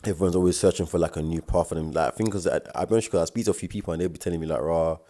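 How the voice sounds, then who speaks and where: A young man with a very deep, dark voice and a South London accent, talking in quick bursts. His speech is loose and slangy, with repeated words and stammers as he co-hosts a laid-back chat. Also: fairly even intonation. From South London, England. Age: young adult. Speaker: podcast co-host. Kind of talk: casual chat.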